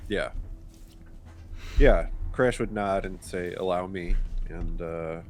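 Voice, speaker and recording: A man's voice: low voice, slow pace. A man chatting casually and a little tentatively as a player in a tabletop roleplaying game. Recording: noisy background ambience.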